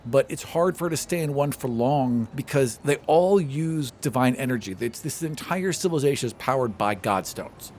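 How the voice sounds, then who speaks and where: A man's voice: mid-range voice, expressive, moderate pace, few pauses, a little mellow, a little hiss or hum. A man working as game master, narrating a tabletop game in a casual, improvised way.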